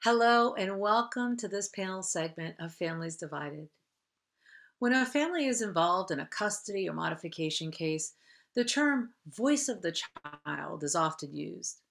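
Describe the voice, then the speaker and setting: Low-pitched woman presenting formally. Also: animated, moderate pace, vocal fry, a bit bright, slight background noise. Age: middle-aged. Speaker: host, counsellor. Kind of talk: scripted presentation.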